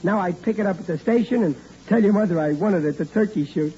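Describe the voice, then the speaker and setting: A man's voice: sits high, fast talker, dark tone. An actor playing a blustering, stammering father who is scheming and flustered, in 1940s radio comedy style. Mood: sheepish scheming. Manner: stammering, repeats words, exasperated bluster. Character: bumbling, blustering sitcom dad, scheming and flustered. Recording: dull, noisy vintage radio recording.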